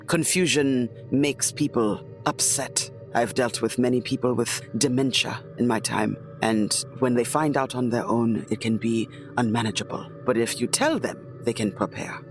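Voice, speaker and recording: A man playing a tabletop roleplaying game, speaking in character in a measured, deliberate way. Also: mid-range voice, steady tone, faint music behind.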